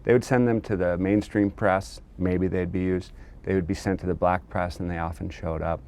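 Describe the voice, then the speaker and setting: A man speaking as an interviewed historian, explaining in an earnest, measured way. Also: deep voice, fairly even intonation, gravelly, a little mellow. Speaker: historian and author being interviewed.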